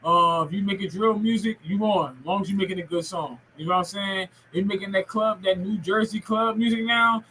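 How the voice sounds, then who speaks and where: A man's voice: high voice, steady tone, a little fast, few pauses, sharp tone, a little hiss or hum, rough recording. A man in his forties hosting a podcast in casual, rambling, slangy and profane talk. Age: 40s. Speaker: podcast host. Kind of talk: casual interview chat.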